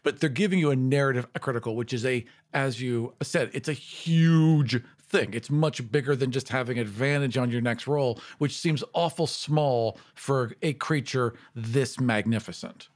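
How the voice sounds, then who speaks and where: A man's voice: medium pitch, normal speed, few pauses. A man talking casually as a podcast panelist, earnest and emphatic about his points. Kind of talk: casual discussion.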